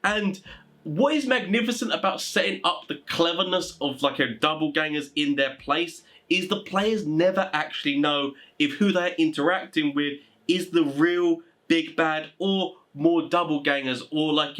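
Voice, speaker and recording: A man's voice: on the high side, moderate pace, a bit bright. A man talking informally as a host giving tabletop game advice, in a chatty, rambling, enthusiastic way.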